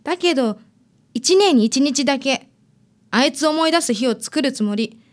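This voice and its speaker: A woman's voice: high voice, lively intonation, moderate pace. A woman playing a stubborn, wounded teenage daughter in a radio drama. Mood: resolved.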